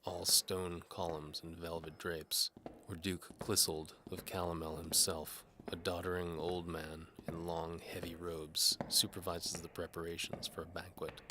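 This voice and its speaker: A man's voice: low voice, background noise. A man playing a cynical, hard-bitten mercenary bowman who narrates his own case in a wry, terse noir style. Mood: narrating, wry. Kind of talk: scripted first-person noir fantasy narration.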